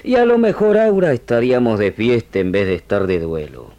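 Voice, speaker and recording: A wounded gaucho sergeant in an old radio drama. He is rustic, frank and grateful, with a rural Argentine accent and a highly animated pitch. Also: brisk, dull audio. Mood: rueful. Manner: rustic, plain-spoken.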